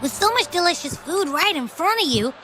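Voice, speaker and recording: A woman's voice: extremely high pitch, very animated, a little fast. A woman voicing a small, boastful, childlike female companion creature in an anime game, cutesy and playful, always greedy. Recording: game music behind the voice.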